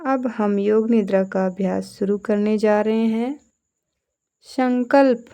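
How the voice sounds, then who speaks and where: A woman leading a guided yoga nidra meditation as an instructor, calmly giving short, deliberate instructions. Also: mid-range voice.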